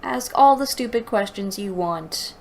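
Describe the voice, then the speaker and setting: Slow-paced woman reviewer, sarcastic and exasperated. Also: medium pitch, lively intonation, darkish tone, slight background noise. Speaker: host of a book review show. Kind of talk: mocking read-aloud book review with commentary.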